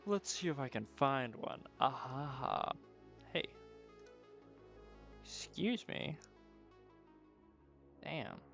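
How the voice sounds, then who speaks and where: A man's voice: a little high, very animated, slow pace, vocal fry, pauses often, fairly bright tone, faint music behind. A man casually thinking aloud on a live creative stream, muttering to himself in a playful, profane way. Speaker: streamer doing 3D art.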